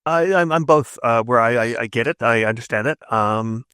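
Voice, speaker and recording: Male interview guest, mid-pitched, emphatic explainer who tags points with "right?", recorded dry. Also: normal speed, sharp tone, clean tone, no room sound. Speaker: guest narrative designer and game writer. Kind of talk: conversational interview advice.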